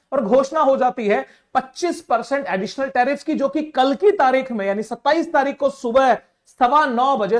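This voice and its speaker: A woman delivers political commentary. She explains step by step, posing rhetorical questions and stressing her points to the listener. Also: high voice. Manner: emphatic, rhetorical questions, addresses listener as sir. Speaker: current affairs commentator.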